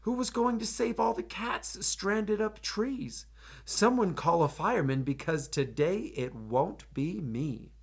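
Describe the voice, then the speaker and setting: A man's voice: high voice, animated, slow, vocal fry, breathy edge, pitched above the performer's own voice, heavily acted. A man voicing a ten-year-old former superhero boy, wistful but determined, in a rhyming picture-book read-aloud. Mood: puzzled, dejected.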